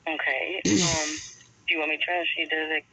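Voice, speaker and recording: A woman's voice: sits low, steady tone, a little fast, pushed and bright, slightly rough. A woman working as a police dispatcher, speaking in a businesslike, procedural way over a muffled telephone line. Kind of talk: procedural phone call. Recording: muffled radio-like phone line.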